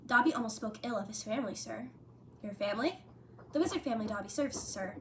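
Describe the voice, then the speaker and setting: Woman reading as a small male house elf, squeaky high voice, servile and anxious, faint hum behind. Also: animated, fast. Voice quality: high-pitched. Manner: servile, calls everyone sir, speaks of himself in third person.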